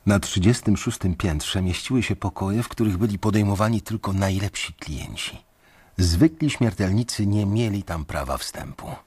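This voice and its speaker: A young bank analyst who narrates his own story in a radio play, wary and guarded. His low voice has a husky edge. He tells it in a restrained, measured way. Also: darkish tone. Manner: restrained first-person narration.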